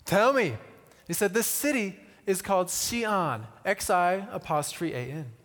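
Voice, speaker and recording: A man giving a conversational sermon with personal stories, using deliberate pauses and repetition and touches of wry humour. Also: sits high, lively intonation, a bit bright. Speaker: missionary speaker. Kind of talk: sermon with personal storytelling to an audience. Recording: reverberant hall.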